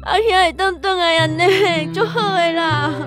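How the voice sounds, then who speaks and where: An actress with a Taiwanese accent playing a soft-hearted family woman in a radio drama. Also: extremely high pitch, a little slow. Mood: moved, tearful. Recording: music clearly behind the voice.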